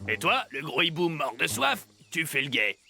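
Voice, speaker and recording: A man voicing a crafty animal character in a children's cartoon, expressive and deliberate, over music. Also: on the high side, vocal fry. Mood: scheming. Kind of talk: animated series dubbing. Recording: music behind.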